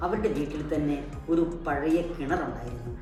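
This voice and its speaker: A woman with a low voice tells a children's story like a teacher, prompting with questions and repeating key words. The recording is very echoey and distant from the mic, with music behind her. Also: normal speed. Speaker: puppet show host, storyteller.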